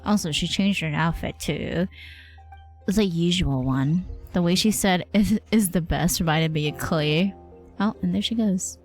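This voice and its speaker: A woman's voice: lowish voice, unhurried. A woman livestreamer giving casual, slangy game commentary and reading dialogue aloud. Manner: informal, slangy. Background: soft game music.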